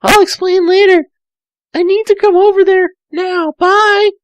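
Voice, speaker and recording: A man voicing a young fox turned into a girl, eager and anxious, in a squeaky falsetto for an amateur reading of cartoon fan fiction. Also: steady tone, a little slow, no echo. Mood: hurried, sheepish.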